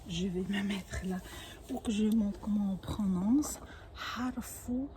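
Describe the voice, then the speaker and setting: A woman's voice: mid-range voice, takes it slowly, noisy background. An older woman whose first language is Arabic, teaching a patient language lesson and explaining each word with small restarts. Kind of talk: home-made language lesson.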